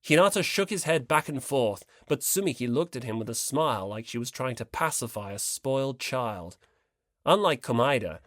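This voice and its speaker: An audiobook narrator reading fiction from a script in an expressive storytelling style. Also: mid-range voice, dry recording, studio quality.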